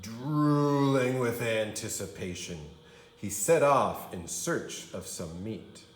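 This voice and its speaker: Male kindergarten storyteller, expressive and very unhurried, roomy sound. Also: lowish voice. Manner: expressive, dramatic storytelling for young children. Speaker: kindergarten teacher reading aloud. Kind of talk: animated storybook read-aloud.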